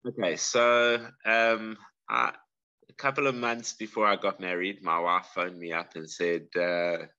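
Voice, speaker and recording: Low-voiced South African man, muffled sound. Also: measured pace, bright, forceful tone, clear, resonant tone. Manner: earnest, explains at length with many restarts. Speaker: guest bladesmith. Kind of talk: casual interview chat.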